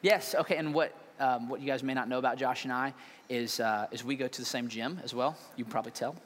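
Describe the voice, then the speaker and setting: An energetic, joking man, a pastor, interviewing a colleague on stage with loud emphatic bursts. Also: mid-range voice, quick pace. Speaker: lead pastor. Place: large church hall. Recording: reverberant hall, faint noise.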